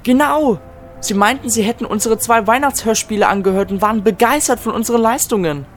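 A man's voice: high-pitched, a little fast, a bit bright. Guy playing stressed team boss in a comedy radio play, handing out jobs, light music. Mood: urgent. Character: frazzled male team leader organizing a rescue. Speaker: team organizer. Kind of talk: amateur comic audio drama.

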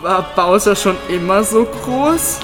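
A man's voice: sits high, lively intonation, slow, over music. A man playing a cocky, playful young adventurer in an amateur audio drama.

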